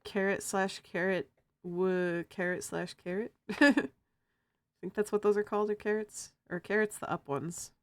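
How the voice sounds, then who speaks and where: A feminine-voiced streamer chatting casually while drawing, giggling often and cracking silly jokes. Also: female voice, medium pitch, steady tone, normal speed, dry recording. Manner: giggly, jokey, laughs often.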